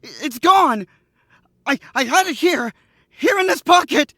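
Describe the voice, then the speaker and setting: A man's voice: extremely high pitch, lively intonation, unhurried, a bit bright, no room sound, studio quality, exaggerated voice. A man playing a nervous, stammering young accomplice in an old-time radio drama recreation.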